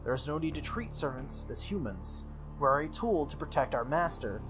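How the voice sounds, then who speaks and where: A man's voice: a little high, big pitch swings, moderate pace. A man voicing a stoic, dutiful young female sword-wielding servant from a visual novel, formal and matter-of-fact. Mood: solemn, firm. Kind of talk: visual novel character read-aloud. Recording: music under the voice.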